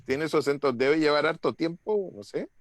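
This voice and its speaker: A man's voice: a little high, normal speed, no echo, studio quality. A man chatting casually on a video call, telling anecdotes and laughing as he talks. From Chile. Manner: chatty anecdote-teller, laughs while talking. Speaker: conference participant. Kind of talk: casual chat.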